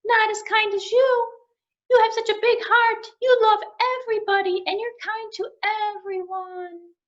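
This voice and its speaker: A woman voicing a sweet, gushing little pet hedgehog in a squeaky, adoring voice, on a dull lo-fi recording. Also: flat delivery, measured pace.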